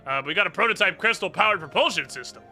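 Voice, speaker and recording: A man voicing a brash, pushy, sweary male airship engineer who blusters and demands loudly. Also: high-pitched, animated, quick pace, soft background music, heavily acted. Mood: blustering.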